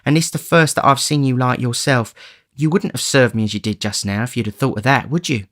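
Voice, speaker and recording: A man voicing a weary, pale young woman who speaks softly and pleads tenderly. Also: mid-range voice, normal speed, vocal fry, clean tone, pitched above the performer's own voice. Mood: pleading, tender.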